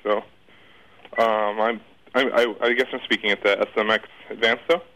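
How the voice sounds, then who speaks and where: A man's voice: lowish voice, steady tone, moderate pace, sharp tone, poor audio. A man answering casually as an interview guest, rambling off the cuff, repeating words and trailing off.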